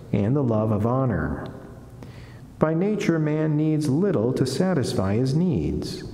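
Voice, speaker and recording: A middle-aged man, a friar, giving a solemn devotional reading in a measured, deliberate, formal way from a lectern in a reverberant chapel. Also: medium pitch, dark tone, a little hiss or hum. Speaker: friar reading a saint's life and reflection. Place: wood-panelled chapel, indoors. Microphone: lectern gooseneck microphone. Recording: echoey chapel with long reverb.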